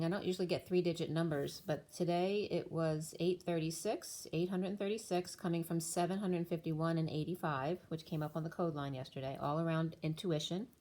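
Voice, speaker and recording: Woman doing a tarot read, kinda low voice, losing it, rambles on and on. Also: a little mellow. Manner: rambling, stream-of-thought explanations. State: losing her voice. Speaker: tarot reader. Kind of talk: casual spiritual reading talk.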